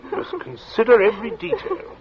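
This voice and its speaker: A man's voice: very high-pitched, very animated, a little fast. Stern, domineering father with a swooping voice. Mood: cold, commanding. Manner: clipped, interrogating, commanding. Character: stern, domineering, cold calculating father, a Major. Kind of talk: 1940s radio drama acting. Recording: muffled, noisy old radio recording.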